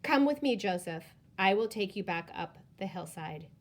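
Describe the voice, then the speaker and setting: A woman's voice: mid-range voice, lively intonation, normal speed, lightly acted. A woman voicing a boy's stern father who lectures him firmly. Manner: firm, lecturing. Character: stern father of a young boy.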